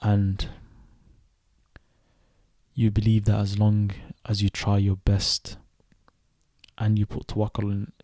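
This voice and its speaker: A young man in his twenties who grew up in Sweden, hosting a reflective solo podcast and speaking thoughtfully, with pauses and self-corrections. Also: fairly low pitch, monotone, dark tone, no echo, clean studio sound. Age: 20s. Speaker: podcast host.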